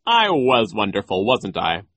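A man's voice: huge pitch swings, measured pace, fairly bright tone, no room sound, exaggerated voice. A man voicing a vain, pompous, giggly school vice principal in a storybook reading. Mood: vain, preening. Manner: shrill theatrical giggling, self-satisfied.

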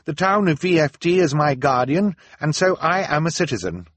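A man's voice: on the high side, moderate pace, fairly bright tone, no echo, voice pushed up. Man doing a determined teenage girl. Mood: firm. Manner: firm and earnest.

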